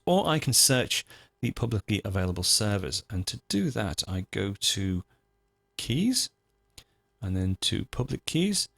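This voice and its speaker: A man presenting a software tutorial, talking informally and methodically through each step as he goes. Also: medium pitch, dry recording, clean studio sound. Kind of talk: screencast tutorial, unscripted step-by-step narration.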